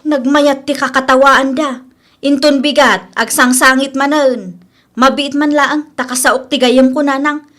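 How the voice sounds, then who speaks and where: Woman acting a high-pitched, concerned Ilocano friend. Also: fairly even intonation, unhurried, a bit bright. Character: concerned young woman, protective, disapproving friend. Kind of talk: audio comedy-drama acting.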